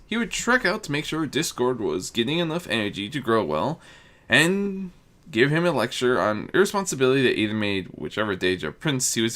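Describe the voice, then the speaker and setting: A storyteller reading fiction aloud in an animated, swooping way, with occasional stumbles over the words. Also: mid-range voice. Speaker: narrator. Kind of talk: scripted narration, fan fiction reading.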